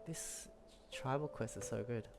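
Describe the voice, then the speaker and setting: A man's voice: mid-range voice, measured pace. A man casually reacting to a game as a streamer, gushing and emotionally invested. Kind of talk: casual live gameplay reaction. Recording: game music behind the voice.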